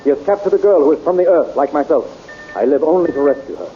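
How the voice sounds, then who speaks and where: An actor in a 1930s radio serial playing a brave young Earth hero who speaks earnestly and with determination. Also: male voice, on the high side, animated, quick pace, faint music behind, natural voice. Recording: dull old radio serial recording.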